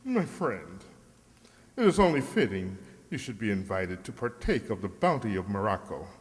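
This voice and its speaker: A man's voice: lowish voice, big pitch swings, moderate pace. An actor playing a courtly, troubled King of Morocco in a children's radio play, speaking in formal, flowery phrases. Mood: gracious, courtly. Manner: formal, stately, flowery speech. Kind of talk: children's radio drama acting. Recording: reverberant hall sound.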